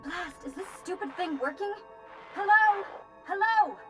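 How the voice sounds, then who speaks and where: A woman's voice: medium pitch, moderate pace, darkish tone, lightly acted. A woman voicing an outspoken, frazzled scientist in danger in a video game, blunt and urgent. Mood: anxious, irritated. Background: game music.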